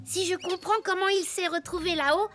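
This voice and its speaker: An eager little wooden puppet boy detective, voiced by a woman, with a very high, bright voice. He talks in keen, curious questions. Soft children's music plays under his lines. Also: fairly even intonation, brisk. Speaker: lead character. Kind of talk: dubbed cartoon acting.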